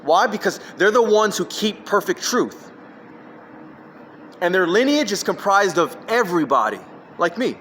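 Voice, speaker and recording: A man's voice: high-pitched, very animated, unhurried, long pauses, a bit bright, a little hiss or hum. A man ranting alone into his phone, jumping from one topic to the next with emphatic, scattered delivery. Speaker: vlogger. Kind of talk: rambling solo rant.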